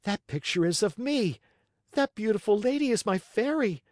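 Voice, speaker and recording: A man's voice: very high-pitched, slow pace, creaky, a little mellow, clear, resonant tone, no room sound, clean studio sound, pitched above the performer's own voice, exaggerated voice. A man voicing a naive, emotional puppet boy turned donkey in a storybook, exclaiming plaintively. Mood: yearning, excited.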